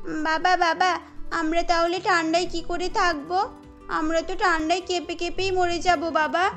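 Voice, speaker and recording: A woman's voice: very high voice, little pitch movement, moderate pace, a bit bright, soft background music. A woman voicing a young girl in a cartoon, speaking in a childlike, pleading way. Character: young girl, the family's daughter.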